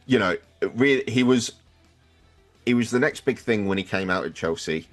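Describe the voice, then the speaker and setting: A man with a low, deep voice hosts a casual sports podcast. He talks conversationally through his points, with faint music playing behind him. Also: expressive, moderate pace. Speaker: podcast host.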